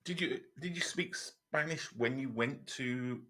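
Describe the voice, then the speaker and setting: A man's voice: medium pitch, a little slow, pushed and bright. A man from Europe hosting a casual interview, asking long rambling questions with many restarts. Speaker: host.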